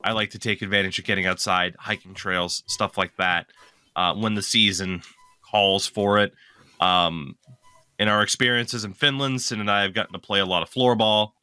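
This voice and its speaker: A man's voice: lowish voice, steady tone, normal speed, pushed and bright, clear, resonant tone, no echo. A young man co-hosting a podcast, chatting casually, rambling and correcting himself as he goes, swearing now and then. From lives in Maine. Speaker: podcast co-host. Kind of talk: casual chat.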